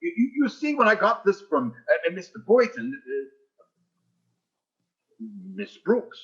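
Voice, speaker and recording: An actor playing a flustered, fawning school principal who stammers and blusters, in 1940s radio sitcom style. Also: male voice, sits high, big pitch swings, unhurried, fairly bright tone, highs cut off, heavily acted.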